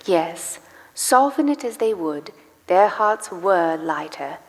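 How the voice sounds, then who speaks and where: A woman narrating a dramatic story live in a reverberant church, with grave, deliberate phrasing. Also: fairly low pitch, lively intonation. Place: live performance in a large church. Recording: reverberant church hall, music behind. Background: music.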